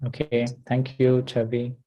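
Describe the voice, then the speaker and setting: A man's voice: mid-range voice, monotone, measured pace, lo-fi sound. A man hosting an online class over a video call. He talks hesitantly, with many uh and you know.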